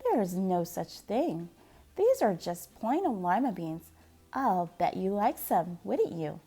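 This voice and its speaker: A woman's voice: medium pitch, very animated, slow pace, warm tone, slightly rough, exaggerated voice. A woman voicing a kind, cheerful old woman in a storybook. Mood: kindly, reassuring.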